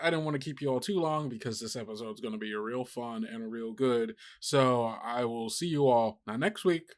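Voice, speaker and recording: A man speaking informally as a podcast host, chatty and rambling through his announcements. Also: medium pitch.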